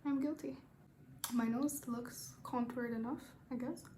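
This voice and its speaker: A young woman with a somewhat high voice talks in a flat, even way while she does her makeup and answers questions, easygoing and rambling, swearing casually. Her voice bounces off the walls of an echoey room, with a faint hiss underneath. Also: a little slow. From Rwanda. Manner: laid-back, rambling, swears casually, many fillers. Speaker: beauty vlogger. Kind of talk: casual get-ready-with-me makeup chat and Q&A.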